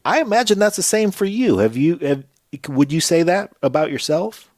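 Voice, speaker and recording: A man's voice: fairly high pitch, animated, normal speed, a little mellow, no room sound. Dry-sounding male podcast host. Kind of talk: casual interview chat.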